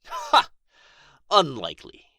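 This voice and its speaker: A man's voice: sits high, huge pitch swings, slow pace, gravelly, bright, forceful tone, dry recording, clean studio sound, heavily acted. A man playing a proud, pompous male dragon in a fantasy ASMR roleplay, with archly formal, theatrical phrasing. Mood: scornful, haughty. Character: proud, haughty injured male dragon, grudgingly grateful, teasingly possessive.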